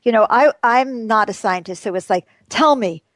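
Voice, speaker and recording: A woman's voice: on the high side, normal speed, no room sound. A woman hosting a radio panel discussion, bubbly and emphatic, laughing often as she introduces her guests. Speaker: radio show host.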